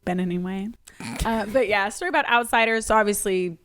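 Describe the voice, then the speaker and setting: A woman's voice: medium pitch, fast talker, fairly bright tone, slight background noise. A woman co-hosting a casual movie review podcast, chatty and hesitant with frequent ums. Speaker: podcast co-host.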